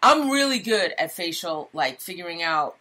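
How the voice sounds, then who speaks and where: A woman from New York co-hosting a talk show, telling stories in quick, profane casual chat. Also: lowish voice, big pitch swings, sharp tone. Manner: rapid, profane storytelling. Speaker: co-host.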